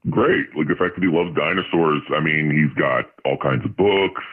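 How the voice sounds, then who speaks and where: Guy phoning in w voice changer on, deep, phone sound, casual storytelling. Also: moderate pace, breathy edge. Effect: voice changer lowering the voice. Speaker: anonymous call-in listener. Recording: muffled phone line through voice changer.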